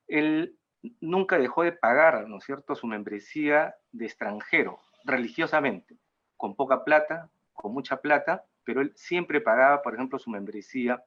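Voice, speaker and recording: A mature man with a Peruvian accent gives an informal talk in a halting way, often tagging phrases with a question seeking agreement. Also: mid-range voice, a bit bright, dull audio. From Peru. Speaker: guest speaker at a cultural talk. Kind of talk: informal lecture in an online talk.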